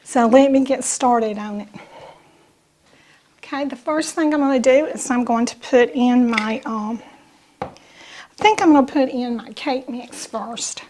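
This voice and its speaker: A woman with a Southern US accent and a slightly raspy, bronchial voice, chatting casually as she talks through a home cooking demonstration. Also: on the high side, measured pace, long pauses, darkish tone, a little hiss or hum. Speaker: host of a home cooking channel.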